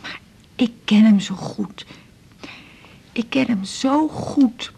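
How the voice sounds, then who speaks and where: A middle-aged widowed mother in a radio serial, anxious and self-effacing yet stubbornly proud. Her Netherlands Dutch speech is husky and hesitant, often repeating words in a plaintive way. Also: a little high, takes it slowly, dark tone, heavily acted. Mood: anxious, grieving. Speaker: supporting actress in radio serial. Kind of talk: 1980s radio drama dialogue.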